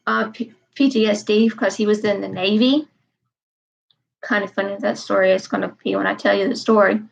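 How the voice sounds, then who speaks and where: A woman's voice: medium pitch, steady tone, moderate pace, vocal fry, low-quality recording. A woman in her twenties chatting casually on a live stream, wandering and repeating herself. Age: 20s. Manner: meandering, repeats herself, frequent "um". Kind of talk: rambling casual live chat.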